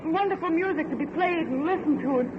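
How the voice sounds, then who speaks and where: An actor playing an idealistic, insistent factory heir in an old radio horror drama, with an earnest, pleading and commanding manner. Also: male voice, very high voice, quick pace. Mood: urgent pleading. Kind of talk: 1940s radio horror acting. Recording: muffled old radio recording, faint hiss.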